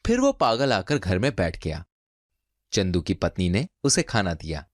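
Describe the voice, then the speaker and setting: A male storyteller narrates a cartoon folk tale. His fairly low voice moves through lively rises and falls, recorded dry and close. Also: a little slow, no echo. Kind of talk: scripted narration of children's cartoon folk tales.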